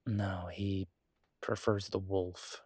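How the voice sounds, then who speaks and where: A man playing a conflicted, protective werewolf pack leader in an intimate audio roleplay, speaking hesitantly and reflectively with halting pauses. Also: sits low, level tone, darkish tone, slightly rough, no room sound, clean studio sound, full character voice. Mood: wry, musing.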